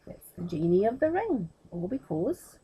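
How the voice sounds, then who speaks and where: A woman reading a children's story aloud in an expressive, explanatory way. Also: medium pitch, unhurried, dull audio, slight background noise.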